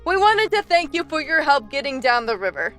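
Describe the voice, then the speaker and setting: A woman's voice: high voice, sing-song, brisk, a bit bright, faint music behind. A woman voicing a shrewd but kind older female pirate captain, speaking in a wry, confident, teasing way, in a cartoon dub. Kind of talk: fan comic dub acting.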